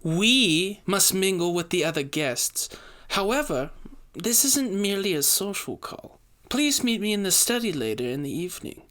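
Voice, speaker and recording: A man voicing an elegant, seductive, wealthy society hostess with genteel, measured, formal speech in an audio story. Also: high voice, sing-song, a bit bright, voice pushed up. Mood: gracious, poised.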